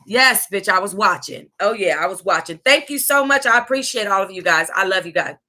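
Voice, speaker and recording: An American woman reading a memoir aloud as a book club host, with sassy, exclamatory asides and drawn-out emphasis. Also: mid-range voice, lively intonation, pushed and bright.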